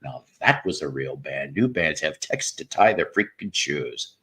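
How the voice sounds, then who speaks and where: A man hosts a loose, unscripted chat and has a soothing, relaxing voice. He talks very slowly and rambles, with a wide, lively rise and fall in his pitch. He trails off and restarts his sentences often. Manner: slow, rambling, with many restarts and pauses. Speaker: host. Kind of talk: casual storytelling chat.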